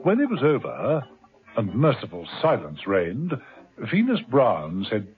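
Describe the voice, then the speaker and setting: A man's voice: mid-range voice, wildly swooping pitch, measured pace, phone-quality sound. A man narrating a 1970s radio drama serial in a dramatic, wry storytelling manner. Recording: thin old radio recording with music.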